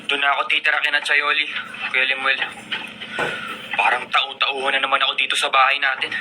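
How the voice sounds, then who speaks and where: A man's voice: mid-range voice, monotone, fairly quick, noisy background, lightly acted. A man playing a hurt, resentful college-age younger brother in an emotional radio drama, with plaintive delivery. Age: young adult. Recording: radio drama broadcast under loud music. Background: music.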